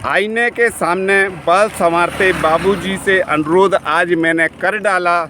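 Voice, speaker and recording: A man in his forties recites his poems in a high voice, slowly and rhythmically, with pauses between lines. He is on a bicycle outdoors, and faint traffic hums behind him. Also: fairly even intonation. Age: 40s. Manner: measured, rhythmic reading with pauses. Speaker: poet. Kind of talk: poetry recitation while cycling.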